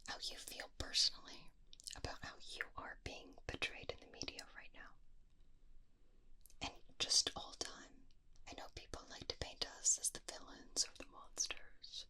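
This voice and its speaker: A woman doing a whispered ASMR roleplay as a clinic examiner, with a soft, breathy voice and gentle, conversational bedside manner, close to a binaural microphone. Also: measured pace.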